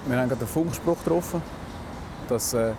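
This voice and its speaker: A man's voice: mid-range voice, fast talker. Matter-of-fact Swiss German policeman, noisy roadside. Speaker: highway police officer. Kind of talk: explaining his work in conversation. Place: on location by a motorway. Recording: clear outdoor traffic and vehicle noise.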